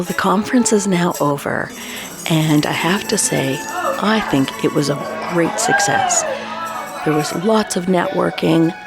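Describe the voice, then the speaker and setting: A woman's voice: fairly low pitch, creaky. A woman podcast reporter doing conference interviews and reporting.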